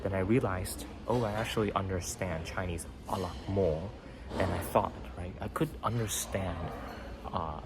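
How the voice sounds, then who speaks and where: Deep-voiced man musing over music. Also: normal speed, noisy background. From Vietnam. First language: Vietnamese. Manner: rambling, many fillers and tag questions. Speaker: podcast host. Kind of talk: casual motivational monologue. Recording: background music under voice.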